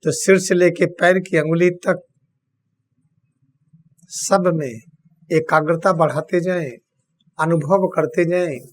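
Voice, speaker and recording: A man with an Indian accent leads a guided meditation, speaking solemnly and deliberately in short phrases with long pauses. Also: a little high, steady tone, slight background noise. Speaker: spiritual teacher leading guided meditation.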